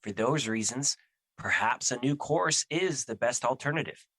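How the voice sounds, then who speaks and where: A man's voice: medium pitch, animated, moderate pace, bright, forceful tone, husky, no echo, full character voice. A man playing a gloomy, self-doubting embodiment of fear in an audio drama, speaking with formal, solemn, theatrical phrasing. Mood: regretful, despairing.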